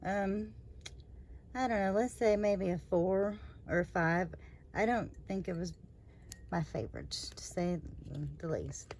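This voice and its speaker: A woman hosting her own review channel, slightly hoarse after surgery, talking in a rambling, chatty way with lots of fillers and trailing asides. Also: medium pitch, darkish tone, slight background noise. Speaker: candle review channel host. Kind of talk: casual product review vlog.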